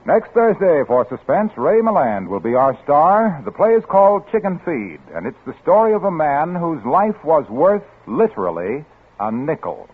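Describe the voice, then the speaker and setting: A man's voice: a little high, big pitch swings, moderate pace. A man working as a 1940s radio announcer, reading scripted copy and ads with a polished sales manner. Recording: tinny 1940s radio recording, music behind.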